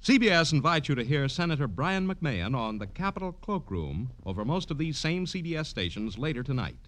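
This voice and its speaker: A man's voice: on the high side, sing-song, brisk, a bit bright, a little hiss or hum. An American man reading scripted announcements in the formal, polished style of 1950s radio.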